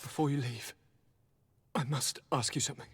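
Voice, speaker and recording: An actor playing a sick young nobleman governor in a video game, formal, theatrical and pleading, with a breathy, suffering delivery. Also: mid-range voice, fairly even intonation, moderate pace. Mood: pained, pleading. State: suffering, weakened by sickness. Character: ailing young nobleman governor, courteous, anguished, devoted. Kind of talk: dramatic video game acting. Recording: long hall-like reverb.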